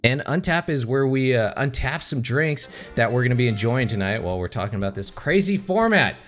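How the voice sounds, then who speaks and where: A young man hosting a casual podcast, his pitch swooping up and down as he jokes and laughs through the banter. His voice sits in an ordinary middle register, loose and playful. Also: animated, fairly quick, fairly bright tone, soft background music. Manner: joking, laughs often, goofy theatrical openings. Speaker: podcast host.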